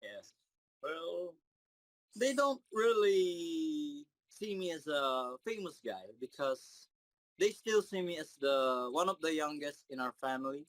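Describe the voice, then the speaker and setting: A young man, answering interview questions in a shy, halting way with many fillers. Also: fairly high pitch, lively intonation, fairly bright tone, no room sound, low-quality recording. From Philippines. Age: 20s. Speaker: interview guest, singer.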